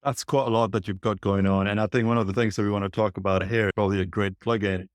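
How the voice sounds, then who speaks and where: A man's voice: fairly low pitch, fairly even intonation, fast talker, dry recording, studio quality. A man hosting a podcast, asking questions and plugging the show in a friendly way. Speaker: podcast host. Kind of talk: podcast interview.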